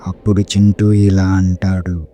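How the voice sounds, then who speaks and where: A man's voice: deep voice, flat delivery, unhurried, warm tone. A man narrating a scripted horror story as its storyteller. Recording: music behind the voice.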